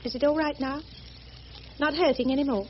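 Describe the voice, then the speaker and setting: Woman acting high-voiced, prim spinster. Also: steady tone, brisk, lightly acted. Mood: tender, solicitous. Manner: proper, earnest, gently insistent. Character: prim, earnest, sheltered missionary spinster with quiet resolve. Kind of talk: radio drama acting. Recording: muffled, noisy old radio recording.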